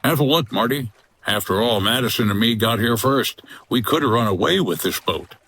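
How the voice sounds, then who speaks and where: A man's voice: mid-range voice, animated, moderate pace, a bit bright. A man playing a wry, tough 1950s boat skipper in a comedy audio drama, talking in a clipped hardboiled way. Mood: calm, bargaining.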